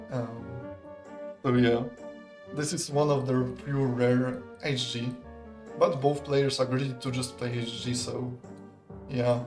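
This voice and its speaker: A young man, commentating a live strategy game in a relaxed, chatty, analytical way and laughing often. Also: medium pitch. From Poland. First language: not English. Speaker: esports caster.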